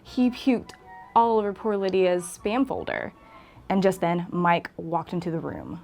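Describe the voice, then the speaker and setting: A woman's voice: lowish voice, lively intonation, unhurried, darkish tone, faint music behind. A woman reading a scripted comedic story as a narrator. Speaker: guest storyteller.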